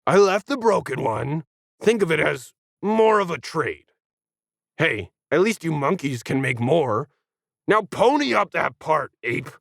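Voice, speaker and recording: A man's voice: on the high side, very animated, unhurried, vocal fry, no echo, studio quality, full character voice. Man voicing a snarky, condescending alien. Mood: smug, pushy. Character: brash sarcastic tentacled alien, condescending and fast-talking. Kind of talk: acted sci-fi storybook dialogue.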